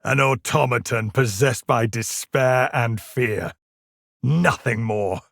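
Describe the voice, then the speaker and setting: A man's voice: medium pitch, slow, gravelly, a bit bright, no echo, clean studio sound. A man voicing a sombre, disdainful space marine in a video game, speaking with grave, formal scorn. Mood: sombre contempt. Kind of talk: video game battle barks.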